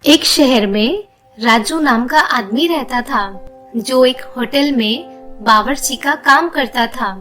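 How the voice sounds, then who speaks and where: A woman's voice: sits high, slow pace, pushed and bright, soft background music. A woman giving scripted narration of children's moral stories.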